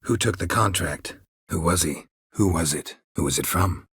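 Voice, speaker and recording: An actor playing a stoic, world-weary monster hunter in a video game, with a gravelly, husky voice and terse, dry, deadpan delivery. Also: male voice, low-pitched, moderate pace, dry recording, heavily acted. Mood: inquisitive, terse.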